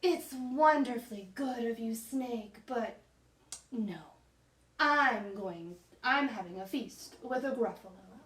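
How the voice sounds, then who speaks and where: A woman voicing a small, clever brown mouse in a storybook, polite and calmly mock-serious. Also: a little high, slow, reverberant, recorded from a distance.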